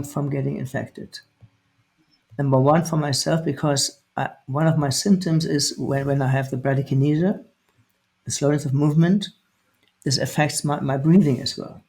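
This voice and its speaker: A man's voice: mid-range voice, darkish tone, poor audio. Man in his fifties, faint guitar behind. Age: 50s. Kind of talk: interview testimony.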